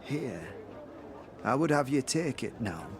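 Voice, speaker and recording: A man's voice: medium pitch, sing-song, slow, background noise. A man voicing a cryptic female fortune teller in a fantasy audio drama, portentous and archaic in manner. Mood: mysterious, earnest. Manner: mysterious, portentous, archaic phrasing. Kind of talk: audio drama character acting.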